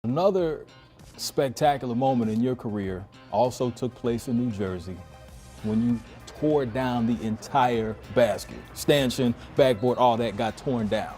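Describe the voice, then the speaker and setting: A man's voice: mid-range voice, unhurried, darkish tone, faint music behind. A man asking questions conversationally as a sports interviewer.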